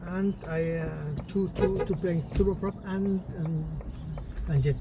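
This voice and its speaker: Man, halting broken english, searches for words, in a car, road noise clearly audible. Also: a little high, steady tone. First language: Spanish. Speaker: rideshare passenger, pilot. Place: back seat of a car.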